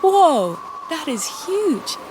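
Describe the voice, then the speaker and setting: An eager, polite little boy voiced by a woman reader from a storybook. The voice is fairly high and earnest, over a noisy recording. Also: very animated, very slow, heavily acted. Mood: amazed. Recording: clearly noisy background.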